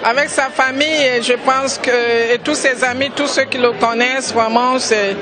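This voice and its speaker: A woman's voice: fairly high pitch, fairly even intonation, moderate pace. A woman from Cameroon, a blogger, answers interview questions earnestly and hesitantly outdoors at a festival. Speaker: blogger and NGO president. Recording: noisy crowd around. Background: crowd chatter.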